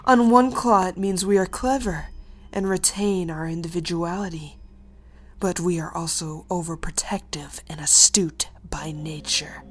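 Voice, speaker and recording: A woman playing a smug, calculating dragon queen in an animated fantasy, speaking in a theatrical, mock-polite way. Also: lowish voice, measured pace. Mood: smug, deliberate. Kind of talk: animated fantasy drama acting.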